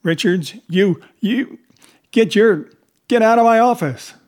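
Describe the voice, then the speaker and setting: A man's voice: a little high, fairly even intonation, brisk, natural voice. A man voicing a hot-tempered, blustering police lieutenant who barks and sputters in an audiobook. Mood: flustered rage. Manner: barking, sputtering when flustered.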